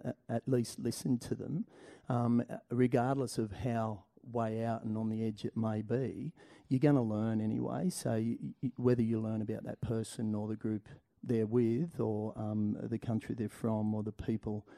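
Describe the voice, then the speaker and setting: A man's voice: mid-range voice, flat delivery, moderate pace, gravelly, few pauses, mellow. A man, a comedian on a live panel, talking in a hesitant way full of ums and restarts. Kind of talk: live podcast panel chat.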